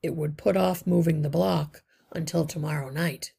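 A woman reading a wise, measured rat leader. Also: low-pitched, steady tone, creaky, mellow, husky, full character voice. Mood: explaining. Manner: measured, explanatory.

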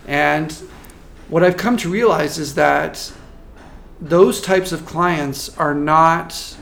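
A man working as a marketing consultant, giving a measured, thoughtful interview answer with frequent restarts. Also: on the high side, creaky, a bit bright. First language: not French.

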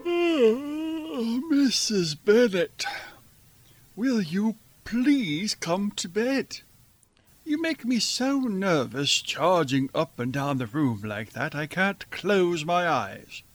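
A man playing a fussy, put-upon older gentleman in a radio drama, with drawn-out theatrical delivery. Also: high voice, sing-song, no room sound, exaggerated voice. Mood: weary, exasperated. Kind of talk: old-fashioned radio drama acting.

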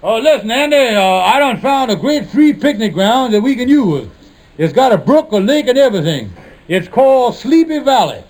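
A man's voice: very high voice, big pitch swings, normal speed, a little mellow. A man playing a blustery, scheming small-time con man and lodge leader in a 1950s radio comedy. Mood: scheming, eager. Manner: blustery, hesitant when plotting. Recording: noisy vintage 1950s radio recording.

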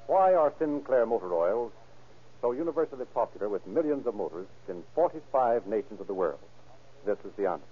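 Husky male 1930s radio announcer. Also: lowish voice, very animated, fairly quick, faint music behind. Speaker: narrator and commercial announcer. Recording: muffled, lo-fi old radio recording.

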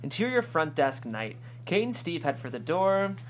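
A woman narrating stage directions at a script table read, sounding amused and lightly animated. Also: sits low, normal speed, a little hiss or hum.

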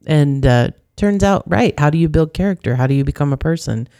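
A woman's voice: low voice, animated, a little fast, dark tone, no echo, studio quality. A young adult woman, a youth advocate guest in a casual interview, talking earnestly and at length. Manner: earnest and talkative.

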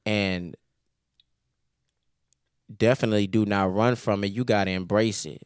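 A man in his twenties speaking as a podcast guest in relaxed, slangy conversation. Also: fairly low pitch, measured pace, clear, resonant tone, no echo, clean studio sound. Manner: laid-back slang, frequent "you know what I'm saying". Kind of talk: casual interview talk.

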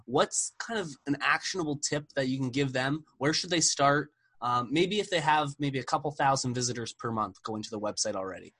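A man hosting a podcast, introducing his guest and asking questions in a relaxed way. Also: mid-range voice, brisk, slightly rough. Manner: relaxed, short affirming replies. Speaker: podcast host. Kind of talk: casual interview hosting.

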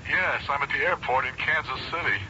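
An actor playing a brisk businessman on a telephone call in a radio drama. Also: fairly high pitch, pushed and bright. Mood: apologetic. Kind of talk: 1970s radio drama acting. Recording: muffled, very noisy old radio broadcast.

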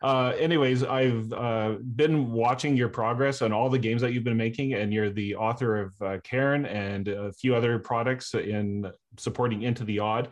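A man hosting a podcast interview, speaking in a halting, apologetic way with many fillers. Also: mid-range voice, fairly even intonation. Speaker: podcast host.

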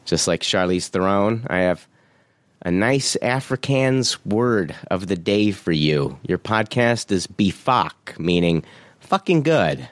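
A fairly low-pitched man hosts a podcast in an easygoing, chatty way. He reads listener reviews aloud, laughs often and drops the odd swear word. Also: lively intonation, slow, no room sound. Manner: laid-back, laughs often, swears casually. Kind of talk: casual podcast chat.

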